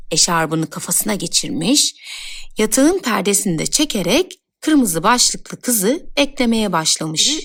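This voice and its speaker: A woman storyteller reading scripted fairy tale narration for children. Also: fairly high pitch.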